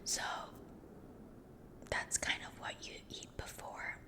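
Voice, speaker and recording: A young woman whispering a rambling story close to the mic, softly repeating words to herself. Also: takes it slowly. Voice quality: unvoiced whisper.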